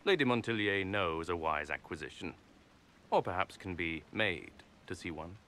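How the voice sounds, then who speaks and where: A man's voice: low-pitched, animated, normal speed, bright, forceful tone, full character voice. An actor playing a smug, condescending nobleman villain in a video game, speaking in a pompous, formal, patronizing way. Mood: smug, calculating. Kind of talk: video game character acting.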